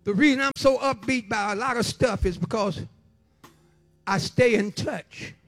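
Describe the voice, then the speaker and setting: Male preacher, seventies. Very high pitch, slow rhythmic declamation, impassioned and repetitive. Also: fairly bright tone, dry recording, soft background music. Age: 70s. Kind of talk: impassioned sermon.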